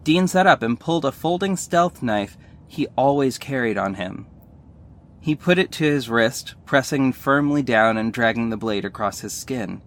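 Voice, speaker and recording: A man's voice: mid-range voice, expressive, moderate pace, a bit bright, close to an everyday voice. Earnest young male hero narrating, played by a man. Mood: steady, intrigued. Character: earnest, emotional young male superhero narrating his adventures. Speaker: narrator and lead actor in an audio drama. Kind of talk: dramatic first-person scripted narration.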